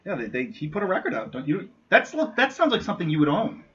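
A man's voice: high voice, wildly swooping pitch, fast talker, highs cut off, a crowd in the background. A man trading casual joking banter as a comedic panelist. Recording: noisy recording with clicks.

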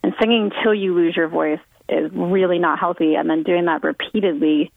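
A woman's voice: fairly low pitch, steady tone, normal speed, creaky, dry recording. A woman singer talking casually in an interview, chatty with frequent ums and restarts. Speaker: guest singer. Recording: tinny phone-line audio.

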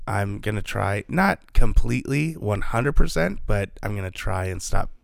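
A man co-hosting a book podcast, sick today with a gravelly voice, chatting casually and rambling with lots of fillers. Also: on the low side, expressive, normal speed, dry recording, studio quality. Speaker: podcast co-host. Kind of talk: casual chat.

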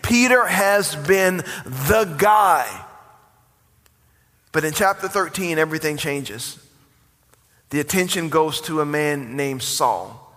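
A man's voice: on the high side, slow pace, long pauses, fairly bright tone. A man in his forties, a pastor preaching a sermon with rising emphasis and call-and-response. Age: 40s. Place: church sanctuary. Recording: long hall reverb.

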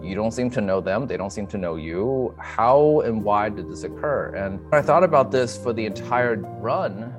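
Young man, lowish voice, earnest, measured personal storytelling, music underneath. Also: lively intonation. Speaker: emergency physician, interview guest. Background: instrumental music.

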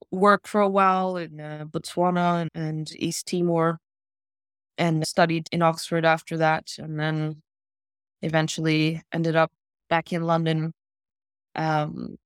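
A woman from South Africa, a human rights lawyer, answering interview questions in a measured, thoughtful way with pauses. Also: low voice, flat delivery, clean tone, no echo, studio quality.

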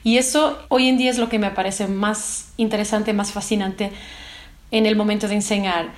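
A woman's voice: mid-range voice, steady tone, normal speed, roomy sound. A woman from Portugal whose first language is Portuguese, a singer answering interview questions thoughtfully while searching for words. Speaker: singer and guest.

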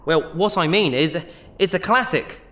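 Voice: medium pitch, moderate pace, a bit bright, slight background noise, lightly acted. An actor playing an easygoing, glib, apologetic treasure hunter with a casual manner in a sci-fi audio drama. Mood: glib, defensive. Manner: glib, casual, self-justifying.

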